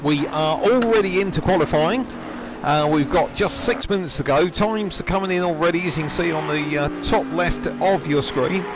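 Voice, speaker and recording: Slightly hoarse man calling a live race, relaxed and chatty, some background noise. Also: a little high, moderate pace. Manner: chatty, frequent ums and throat clearing. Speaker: race commentator. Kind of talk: live sim racing commentary. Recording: noisy background.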